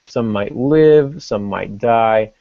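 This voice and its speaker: A man's voice: medium pitch, takes it slowly, gravelly, dry recording, narrow, tinny audio. A man hosting a podcast, explaining casually and thinking aloud, with some joking along the way. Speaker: podcast host.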